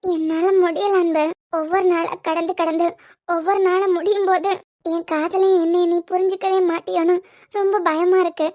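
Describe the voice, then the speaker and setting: A woman's voice: very high voice, steady tone, moderate pace, a little mellow, muffled recording. Woman playing a tearful young woman in love. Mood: pleading. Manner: tearful, pleading. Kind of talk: romantic audio drama acting.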